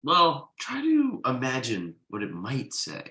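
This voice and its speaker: A man's voice: medium pitch, wildly swooping pitch, takes it slowly, sharp tone, exaggerated voice. A man reading the part of a forbearing, drily sarcastic husband and father in a conversational comedy table read, with roomy echo. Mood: patient, wry. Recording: roomy, echoey online video call.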